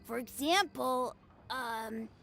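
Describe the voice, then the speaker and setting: Eager, high-voiced little boy. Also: slow pace, vocal fry, a little hiss or hum, exaggerated voice. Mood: chatty, excitable. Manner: boastful, excitable, childlike. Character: eager young boy playing a proud martial arts master. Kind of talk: video game voice acting. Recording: music behind the voice.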